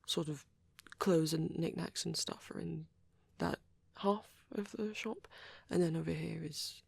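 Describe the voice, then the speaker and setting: Shy vampire boyfriend performed by a woman for ASMR roleplay: breathy, creaky, nervous and halting, very dry intimate recording. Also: low-pitched, no echo, full character voice. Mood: shy, explaining. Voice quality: soft, husky, breathy. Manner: hesitant, stammering, self-doubting. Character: shy, nervous young male vampire on a date.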